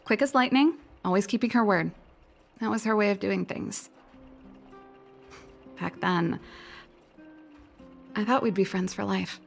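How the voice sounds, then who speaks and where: A woman's voice: mid-range voice, measured pace, gravelly, pauses often, soft background music. A woman voicing an easygoing, teasing young female mechanic and musician in a video game, with a casual, playful delivery. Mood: reflective, fond.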